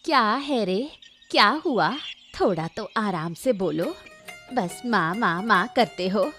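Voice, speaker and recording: A woman's voice: medium pitch, expressive, takes it slowly, a bit bright, faint music behind. A woman voicing a lazy, complaining elderly mother who scolds her grown son in a Hindi cartoon story. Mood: dismissive, excusing. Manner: scolding, complaining, excuse-making.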